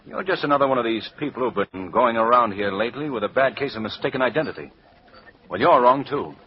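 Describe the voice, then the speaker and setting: A man's voice: mid-range voice, animated, fast talker, natural voice. An American actor playing a wary, sardonic man suspected of being a missing heir, in 1940s radio mystery style with clipped delivery. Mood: defiant, suspicious. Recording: muffled, noisy vintage radio recording.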